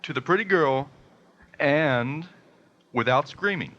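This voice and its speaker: An actor playing a slick, cheerfully sadistic game show host in a comic radio drama, with an American accent and showy announcer patter. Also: male voice, fairly high pitch, expressive, slow, pushed and bright, exaggerated voice. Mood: smooth, upbeat. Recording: dull, lo-fi audio.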